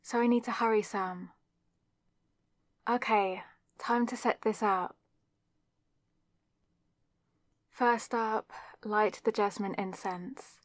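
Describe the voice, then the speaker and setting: Woman acting slow-talking, lovestruck young witch. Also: medium pitch, steady tone, dry recording, studio quality, lightly acted. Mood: focused, chatty. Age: young adult. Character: nervous lovestruck young student witch, secretly crushing on classmate. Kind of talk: ASMR audio roleplay, scripted solo monologue.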